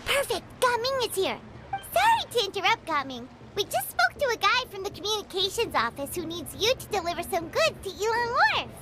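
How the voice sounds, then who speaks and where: A woman's voice: very high-pitched, big pitch swings, brisk, heavy background noise. A woman voicing a tiny, bubbly female fairy-like companion in a video game, who talks about herself in the third person. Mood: chirpy. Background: game music.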